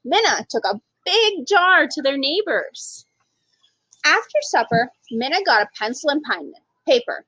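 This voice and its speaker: A woman reading a children's story aloud as an expressive storytime narrator. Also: high voice, unhurried, no echo, poor audio. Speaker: storytime reader.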